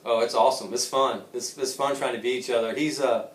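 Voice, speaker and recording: A man's voice: mid-range voice, fairly even intonation, fairly quick, reverberant, distant mic. A man in his thirties from Savannah, a golfer answering interview questions in a joking, rambling way with lots of laughs and restarts. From Savannah, Georgia. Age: 30s.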